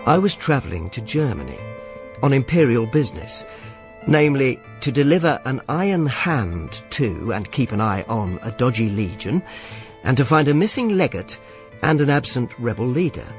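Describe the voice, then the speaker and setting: A world-weary Roman informer in a radio drama. His mid-pitched voice moves in very lively swings. He is sardonic and grumpy, with a dry wit. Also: moderate pace, soft background music. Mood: wry, weary. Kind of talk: radio drama narration and dialogue.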